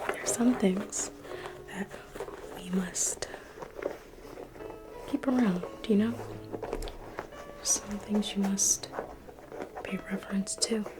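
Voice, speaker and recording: A woman whispers slowly and intimately, speaking close and soothing as a private museum guide in an ASMR roleplay. Her pitch sits in an ordinary middle range. Gentle instrumental music plays clearly behind her. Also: fairly even intonation, rough recording. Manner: soft whispering, intimate and reassuring.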